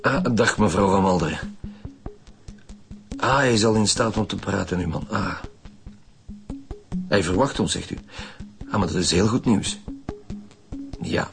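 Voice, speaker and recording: Male chief inspector for radio drama: low register, no-nonsense, clipped orders, faint music underneath. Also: unhurried, creaky, long pauses. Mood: brisk. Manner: direct, commanding, terse.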